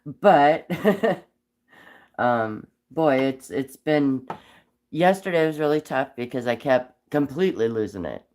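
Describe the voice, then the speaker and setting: A man with a cold and a raspy voice, chatting casually on a live stream while whittling wood, rambling with frequent ums. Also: mid-range voice, lively intonation, slow pace, a little mellow. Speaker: livestream host whittling wood.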